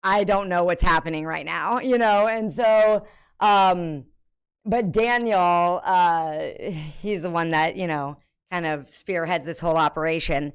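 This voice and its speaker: A woman's voice: fairly low pitch, measured pace, smooth. An American woman streamer in casual live chat, rambling with many ums and self-mocking laughter. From United States.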